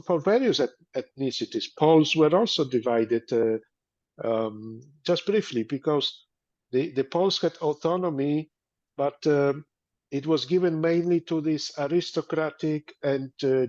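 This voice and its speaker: A man from Poland with a Polish accent, speaking in an interview as a guest and pausing often with fillers and restarts. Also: medium pitch, darkish tone, highs cut off. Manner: hesitant, many fillers and restarts. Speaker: museum director, guest. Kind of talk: interview, casual talk.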